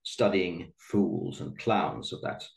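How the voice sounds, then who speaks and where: A man's voice: sits low, steady tone, takes it slowly, gravelly, reverberant. A man from Sweden, a native Swedish speaker, answering interview questions in a thoughtful way with many fillers and restarts. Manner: thoughtful, hesitant with fillers and repeated words. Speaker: guest historian.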